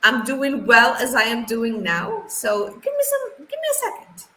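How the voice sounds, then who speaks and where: A woman's voice: on the high side, huge pitch swings, moderate pace. A woman, an English teacher answering interview questions casually, often repeating words as she thinks. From Algeria. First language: not English; English is her third language. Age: 30s. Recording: roomy echo, faint background noise.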